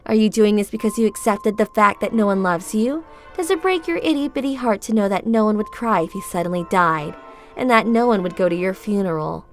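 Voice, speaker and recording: A woman's voice: medium pitch, brisk, lightly acted. A woman voicing a mocking, spiteful teenage girl villain who sneers and taunts. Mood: taunting. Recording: music behind.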